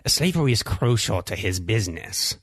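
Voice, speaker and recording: A man voicing a cagey alien shopkeeper and slave owner in a sci-fi audio drama, rough-voiced and theatrical, pleading and indignant. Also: fairly low pitch, sing-song, moderate pace, a bit bright, no echo, exaggerated voice. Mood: indignant, protesting. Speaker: store clerk. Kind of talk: hard-boiled sci-fi audio drama acting.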